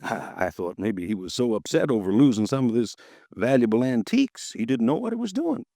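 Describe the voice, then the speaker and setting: A man's voice: mid-range voice, big pitch swings, brisk, creaky, warm tone, no room sound, studio quality. A folksy insurance agent in his fifties. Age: 50s. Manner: folksy, chatty, easily riled. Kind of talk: 1950s radio drama acting. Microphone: close studio mic, dry.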